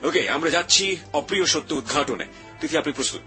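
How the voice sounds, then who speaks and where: A man's voice: fairly high pitch, animated, fast talker, bright, forceful tone. A Bangladeshi man hosting a radio confession show, interviewing a guest with brief acknowledgements and probing questions. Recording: music playing under the voice.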